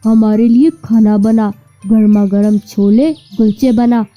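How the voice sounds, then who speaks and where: A woman's voice: a little high, fairly even intonation, slow, mellow, faint music behind, voice pushed down. A woman voicing a callous, greedy uncle bird in a cartoon, bossy and dismissive.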